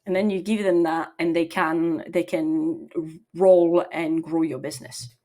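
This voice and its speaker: Woman, forties, lowish voice, thoughtful podcast guest. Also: little pitch movement, a little slow, warm tone. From south of France. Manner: thoughtful, laughs easily, restarts sentences. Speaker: guest, people and operations leader. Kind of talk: podcast interview.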